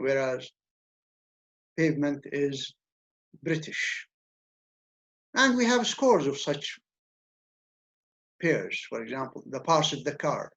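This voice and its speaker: Man lecturing, lo-fi. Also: fairly high pitch. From Levant. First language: Arabic. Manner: measured, explanatory, repeats words when restarting. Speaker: university lecturer. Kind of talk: academic lecture. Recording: dull lo-fi recording.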